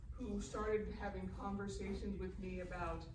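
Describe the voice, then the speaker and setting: A woman's voice: medium pitch, flat delivery, moderate pace. A woman speaking conversationally at a live panel, projecting to the room as she talks and answers the audience. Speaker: writer answering audience questions. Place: large indoor hall at a festival event. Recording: echoey, distant, noisy room recording.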